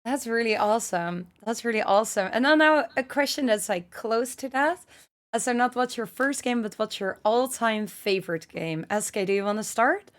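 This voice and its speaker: A woman's voice: on the high side, moderate pace. A woman hosting a casual podcast chat, not a native English speaker, friendly and giggly with fillers. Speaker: podcast host.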